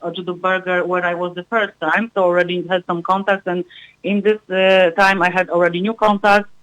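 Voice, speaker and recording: Woman with a notably flat, halting delivery. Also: lowish voice, no echo, lo-fi sound. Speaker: guest music researcher and filmmaker. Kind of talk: live radio interview chat.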